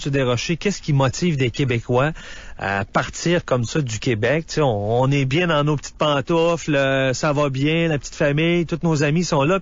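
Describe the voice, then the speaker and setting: A Quebec French man hosts a radio show and asks his guest questions in a loose, curious way, full of fillers. His voice sits a little high, and the sound is dry and dull, like a radio broadcast. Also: no echo. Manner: casual, curious, many fillers. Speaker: radio host. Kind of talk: radio phone interview.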